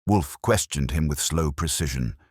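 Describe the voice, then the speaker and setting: Very deep-voiced man narrating detective fiction. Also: lively intonation, moderate pace, husky, no room sound, studio quality. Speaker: audiobook narrator telling a detective story in first person. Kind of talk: scripted narration, hard-boiled detective storytelling.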